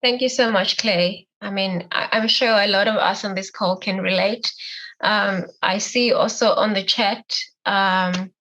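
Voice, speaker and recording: A woman's voice: medium pitch, fairly even intonation, unhurried, a bit bright, low-quality recording. A young woman moderating an online panel in a casual way, full of likes and you-knows. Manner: casual, many fillers of like and you know. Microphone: video call.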